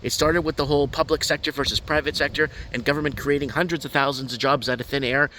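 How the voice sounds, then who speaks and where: A man's voice: a little high, fairly even intonation, fast talker, bright, forceful tone, slight background noise, poor audio. A middle-aged man with a Portuguese family background, ranting heatedly and repetitively to camera about politics from his backyard. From Portuguese family, raised in Canada. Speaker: online commentator. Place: backyard, outdoors.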